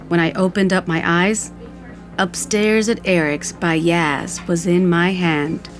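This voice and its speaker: A woman narrating audio fiction in the first person, with a wistful, vivid storytelling manner. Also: fairly low pitch.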